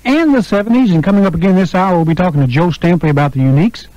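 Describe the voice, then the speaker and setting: A man's voice: sits high, rapid-fire, darkish tone, no room sound. A man hosting an oldies radio show with upbeat, chatty, informal announcer patter into a studio microphone. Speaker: radio DJ and interviewer. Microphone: close broadcast microphone. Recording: dull, lo-fi radio aircheck tape.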